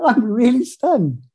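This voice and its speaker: A man hosting a podcast, chatting casually and reacting with quick, enthusiastic exclamations. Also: very high voice, a little mellow. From South Africa. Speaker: podcast host. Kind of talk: casual interview chat.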